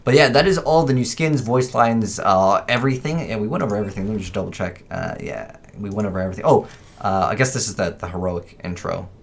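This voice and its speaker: A man's voice: on the low side, expressive, quick pace, vocal fry, a little hiss or hum. A man hosting a gaming video with casual, informal commentary, rambling a little as he thinks aloud.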